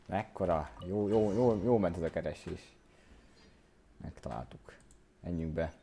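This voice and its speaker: A man's voice: deep voice, normal speed, mellow, a little hiss or hum. A man with a Hungarian accent giving casual play-along commentary as he streams a game.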